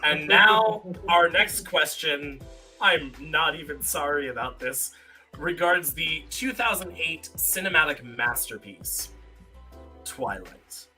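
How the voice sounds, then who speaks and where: Bright, animated man hosting trivia. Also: on the high side, takes it slowly, faint music behind, poor audio. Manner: wordy, pedantic asides. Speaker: quiz host on a podcast live stream. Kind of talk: casual trivia game hosting.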